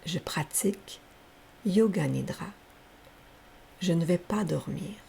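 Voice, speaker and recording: A woman leading a guided yoga nidra relaxation as a teacher, speaking softly and breathily in gentle, unhurried, soothing instructions. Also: lowish voice, gravelly. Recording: faint steady background hiss.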